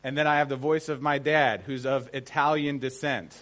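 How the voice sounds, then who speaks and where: A man's voice: medium pitch, fairly even intonation, normal speed, a bit bright. Conversational American man teaching a seminar. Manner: conversational, emphatic, often checks in with listeners. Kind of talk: informal seminar lecture to an audience.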